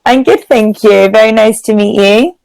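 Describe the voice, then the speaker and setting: A woman's voice: fairly high pitch, normal speed, creaky, darkish tone, rough recording. A woman from England with a British accent, chatting casually as an online English tutor and using simple phrasing for a learner. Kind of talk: casual conversational lesson. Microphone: video call.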